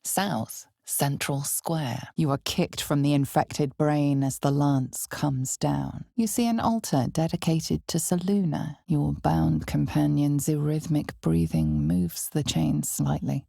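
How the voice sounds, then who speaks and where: A woman voicing the grave, knowing narrator of a dark fantasy game, speaking deliberately in a husky, breathy tone with an ominous air. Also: low voice, steady tone, no room sound, clean studio sound, full character voice. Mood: hushed, mysterious. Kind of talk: dramatic second-person fantasy game narration.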